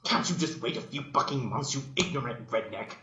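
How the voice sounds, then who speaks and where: A man's voice: medium pitch, expressive, brisk, sharp tone, reverberant, highs cut off, voice pushed up. A man voicing a haughty, refined female cartoon unicorn with a theatrical, appalled manner in a fan story reading. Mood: indignant.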